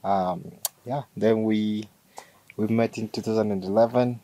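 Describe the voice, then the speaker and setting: A man's voice: lowish voice, steady tone, measured pace, dark tone. A man from Kenya with a Kenyan accent, talking in a laid-back, chuckling, hesitant way as an interview guest. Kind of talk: casual conversation.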